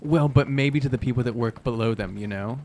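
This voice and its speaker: Man, medium pitch, game player, casual joking banter, faint noise. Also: brisk, warm tone. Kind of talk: casual, joking table talk.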